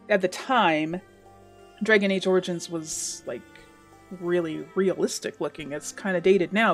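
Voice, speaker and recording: A woman in her thirties from the Pacific Northwest of the US, with slight Southern and Canadian hints in her accent, answering viewer questions in a chatty, casual way and laughing often. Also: fairly low pitch. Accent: Pacific Northwest American with slight Southern and Canadian hints. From Washington State, USA. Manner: chatty, laughs often, self-deprecating asides. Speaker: gaming YouTuber. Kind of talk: casual Q&A monologue.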